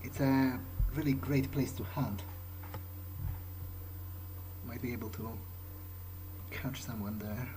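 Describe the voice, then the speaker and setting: A male let's player speaks in character over a game. He muses aloud hesitantly, as if sharing his private thoughts. Game ambience is clearly audible behind him. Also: medium pitch, steady tone, slow, long pauses, background noise. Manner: hesitant, musing, thinking aloud. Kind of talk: in-character roleplay gameplay commentary.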